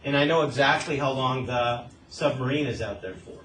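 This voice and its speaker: A man's voice: mid-range voice, normal speed, a bit bright, a little hiss or hum, rough recording. A man teaching a class as a math teacher, explaining step by step and often asking questions to check understanding. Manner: asks frequent checking questions.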